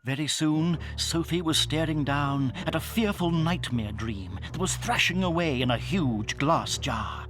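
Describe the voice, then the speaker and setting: A man narrating a children's story in a measured, dramatic storytelling manner. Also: a little high. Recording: studio narration over music.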